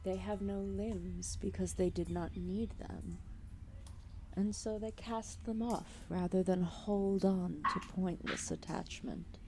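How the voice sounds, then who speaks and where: A woman playing a calm, solemn, ancient serpent deity in an ASMR roleplay, speaking softly and formally with deliberate pauses. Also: mid-range voice, background noise. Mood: earnest, grave. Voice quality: soft, breathy, often dropping into whispers. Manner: slow, deliberate, formal phrasing with long pauses.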